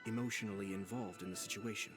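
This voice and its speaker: An actor playing a polite, calculating nobleman in a video game, speaking in a measured, formal way. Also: fairly low pitch, fairly even intonation, fairly bright tone, soft background music, lightly acted. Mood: calm, calculating. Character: composed, scheming nobleman commissioner, polite and calculating. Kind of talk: scripted game voice acting.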